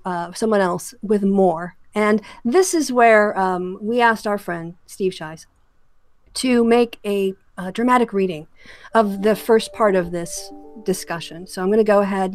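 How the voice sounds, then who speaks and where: A woman hosting an online discussion, speaking casually and haltingly as she comments, often correcting herself. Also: mid-range voice, dry recording. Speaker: host of an online discussion channel.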